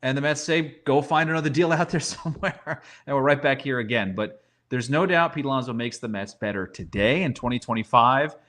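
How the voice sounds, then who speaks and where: Guy doing sports analysis as a guest, normal pitch, chatty, talks out made up quotes. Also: a little fast, hardly any pauses, fairly bright tone.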